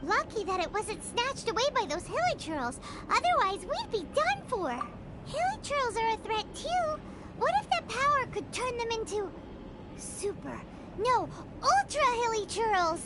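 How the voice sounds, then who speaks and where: A woman voicing a small, excitable female fairy companion in a video game, with a high childlike voice and a teasing, exclamatory manner. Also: a little slow, very noisy, exaggerated voice. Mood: excited. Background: game soundtrack.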